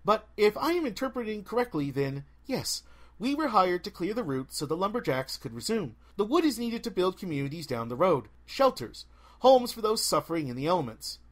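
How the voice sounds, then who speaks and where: A man's voice: sits high, sing-song, fairly quick. A man voicing a polite, earnest young woman adventurer who reasons in precise, formal sentences in a fantasy storybook. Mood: politely earnest.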